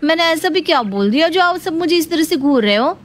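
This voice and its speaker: A woman's voice: very high-pitched, animated, brisk, slight background noise, voice pushed up. A woman voicing a young, naive and talkative daughter-in-law in an audio family story. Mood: puzzled, protesting. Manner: questioning, talkative.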